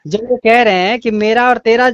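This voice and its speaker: A man's voice: very high voice, fairly even intonation, normal speed, clear, resonant tone, dry recording. A man explaining his view insistently in a religious debate on a phone call, repeating phrases to press his point. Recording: dull lo-fi call audio.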